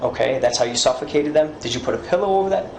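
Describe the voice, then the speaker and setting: A man's voice: a little high, animated, racing, creaky, darkish tone. A man working as a police interrogator, questioning someone in a probing way and repeating answers back in a recorded interview. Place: indoor police interview room. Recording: echoey room with background noise.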